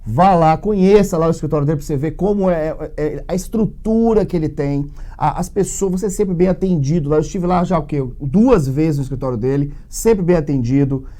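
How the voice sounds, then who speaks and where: A man's voice: a little high, fairly quick, few pauses. A Brazilian man hosting a casual radio interview, chatty and upbeat in a studio. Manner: chatty, warm laughs, upbeat. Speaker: radio host and English teacher.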